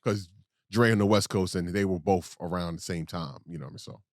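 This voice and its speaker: Low-voiced man rambling on a podcast. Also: a little fast, dry recording, clean studio sound. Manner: rambling, digressive storytelling. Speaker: podcast co-host. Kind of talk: casual podcast chat.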